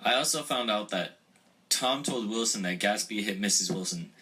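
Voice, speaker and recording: An earnest young questioner, played by a young man with a bright, husky voice. He presses his questions firmly and politely. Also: fairly low pitch, moderate pace, poor audio. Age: young adult.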